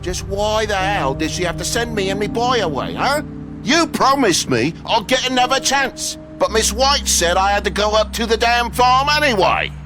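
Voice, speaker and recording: A man's voice: sits high, big pitch swings, normal speed. A man voicing an irascible, foul-mouthed working-class father in a video game, blunt and complaining. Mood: bitter, accusing. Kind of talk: video game drama acting. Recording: music behind the voice.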